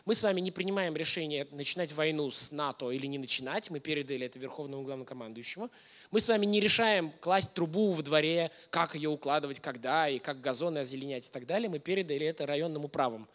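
Male presenter, thirties, voice a little high; informal talk to a room, question-led delivery. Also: fast talker, a bit bright. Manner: informal, engaging listeners with questions. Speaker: lecturer giving a masterclass. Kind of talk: conversational lecture to an audience. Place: indoor hall, on stage before a projection screen.

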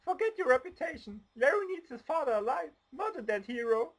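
A woman's voice: sits high, sing-song, a little fast. A woman playing a feisty, stubborn young mother who scolds urgently in an amateur radio play. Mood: worried, scolding.

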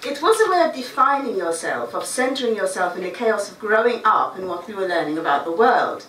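A middle-aged Guyanese woman reads a prepared talk at a stand mic on a small stage, measured and reflective. She is heard from well back in a roomy space, with faint room hum behind her. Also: medium pitch, lively intonation, a bit bright. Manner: reflective, measured reading with asides. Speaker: writer giving a talk. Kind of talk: reading a prepared talk aloud. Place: small dark stage before a seated audience. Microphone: stand mic, filmed by a far camera. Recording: roomy, distant camera recording.

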